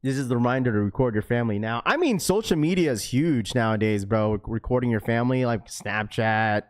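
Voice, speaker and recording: A man hosting a livestream, chatting with his viewers in a relaxed, slangy way and tossing in "bro" and "dude" as he goes. His voice sits in an ordinary middle range. The recording is dry and close, with no room echo. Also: medium pitch, fairly quick. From Washington state, US. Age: young adult to middle-aged, a father of a schoolchild. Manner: laid-back and slangy, full of bro, dude and like. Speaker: livestream host reading chat. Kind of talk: casual livestream chat.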